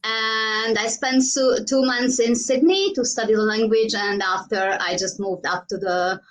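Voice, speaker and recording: A woman's voice: fairly high pitch, measured pace, soft background music, rough recording. A middle-aged woman from Hungary, speaking English with a Hungarian accent as a guest on a video call, earnest and searching for words with frequent "uh" fillers. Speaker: guest, education agent coach. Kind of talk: casual interview over video call.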